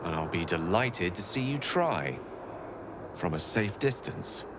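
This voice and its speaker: Proud wry wizard from fantasy game, low voice, articulate, clear background ambience. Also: male voice, animated, a little slow, background noise. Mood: wry unease. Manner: wordy, droll, dignified. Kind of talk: fantasy video game acting.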